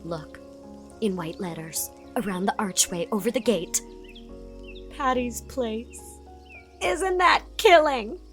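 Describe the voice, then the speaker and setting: A woman's voice: on the high side, slow pace, pauses often, a bit bright, soft background music. A woman playing a chatty, effusive young society woman in an audiobook drama, leaning on words for dramatic emphasis. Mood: delighted.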